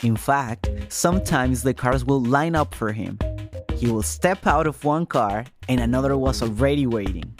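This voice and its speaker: A man's voice: mid-range voice, normal speed. A man hosting and reporting a podcast, reading scripted first-person narration. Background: music.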